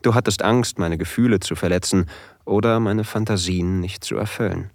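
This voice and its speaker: A man playing an eager, nervous submissive husband, confiding his story in a hushed, deliberate first-person audio drama narration. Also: low-pitched, no room sound, clean studio sound. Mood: confiding, anticipating.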